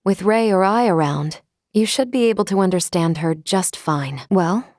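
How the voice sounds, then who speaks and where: A woman playing a logical, polite, protective android first mate in a video game, speaking with formal, precise, measured diction. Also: mid-range voice, a little mellow, dry recording, clean studio sound, lightly acted. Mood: polite, composed. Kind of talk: scripted video game character lines.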